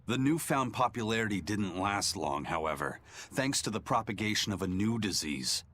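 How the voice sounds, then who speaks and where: Serious learned man, low voice, measured explainer, video game dialogue, noisy background. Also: lively intonation, a bit bright. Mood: earnest, explanatory. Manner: expository, measured.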